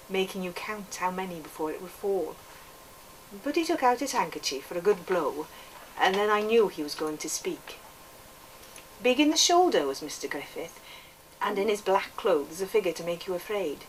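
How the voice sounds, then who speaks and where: A woman's voice: medium pitch, natural voice. Earnest welsh kid telling his story woman doing it, lilting and lyrical, bit far from the mic, faint noise. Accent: put-on Welsh. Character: earnest Welsh boy narrating a storybook memoir. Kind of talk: expressive literary reading. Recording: distant microphone, background noise.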